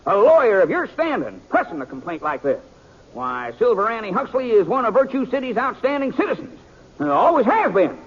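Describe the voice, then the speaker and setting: High, swooping, flustered small-town judge. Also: male voice, very animated, brisk, exaggerated voice. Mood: flustered, scolding. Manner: sputtering, repetitive. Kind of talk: 1950s radio western acting. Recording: muffled, raspy old radio broadcast.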